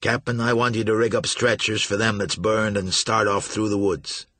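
A man voicing a gruff male riverboat captain who gives blunt orders in folksy talk. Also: on the low side, little pitch movement, normal speed, bright, forceful tone, no room sound. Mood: commanding.

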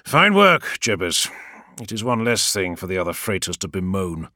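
A man voicing a proud, fiery male war leader who speaks in a raised, forceful way. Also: sits low, big pitch swings, moderate pace, no room sound, studio quality, heavily acted. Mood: earnest. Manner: forceful, raised, impassioned delivery.

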